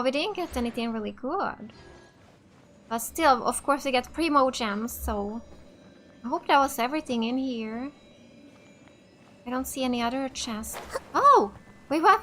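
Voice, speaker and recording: High, bright-voiced woman doing let's play commentary. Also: slight background noise. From Finland. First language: Finnish. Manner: reacts aloud, thinks out loud. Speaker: gaming video host. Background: game music.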